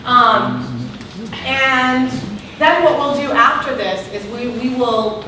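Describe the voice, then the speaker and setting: A woman with a loud voice, patiently explaining the steps of a public meeting activity. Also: fairly high pitch, big pitch swings, slow, background noise. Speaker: meeting facilitator. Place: large meeting room. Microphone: far room recording. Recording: echoey room from far off.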